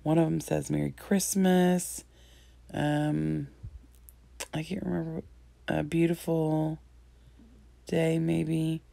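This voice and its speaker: A woman in her thirties with a low, gravelly, hoarse voice walks through her scrapbook layout in a chatty, meandering way. Her tone is mellow and the sound is dry and close. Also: flat delivery, slow, pauses often, dry recording. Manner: chatty, rambling, frequent ums and throat-clearing. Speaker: scrapbooking crafter. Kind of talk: casual craft tutorial narration.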